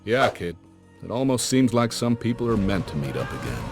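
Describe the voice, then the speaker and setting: A man's voice: on the low side, big pitch swings, brisk. An actor playing a folksy older male adventurer who tells war stories to a youngster in a game cutscene. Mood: wistful. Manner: folksy, slangy, reflective storytelling. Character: folksy older male adventurer, warm storytelling uncle type. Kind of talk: cinematic game cutscene narration. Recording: music behind the voice.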